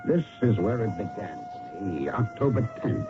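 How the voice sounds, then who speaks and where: An American actor playing a businesslike, dryly hard-boiled police investigator in an old-time radio drama. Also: male voice, mid-range voice, wildly swooping pitch, moderate pace. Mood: grim narrating. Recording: dull, very noisy old radio recording.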